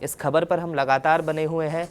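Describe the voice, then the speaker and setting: A man's voice: on the high side, fairly even intonation, normal speed, a little hiss or hum. A man presenting a news report, explaining as he goes and often restating his points.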